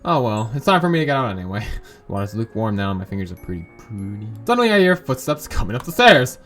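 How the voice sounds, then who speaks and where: A hoarse young man with a sore throat reading a visual novel game aloud and breaking off into casual, rambling asides. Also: lowish voice, sing-song, fast, fairly bright tone, faint music behind. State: hoarse from a sore throat, voice lower than usual. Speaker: let's play host.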